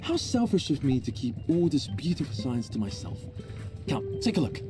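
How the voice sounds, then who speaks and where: A man playing an eccentric, absent-minded scientist in a video game, rambling and excitable about his research. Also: mid-range voice, moderate pace, over music, close to an everyday voice. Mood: rapt, excited. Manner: rambling, excitable, self-absorbed. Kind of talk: video game character acting.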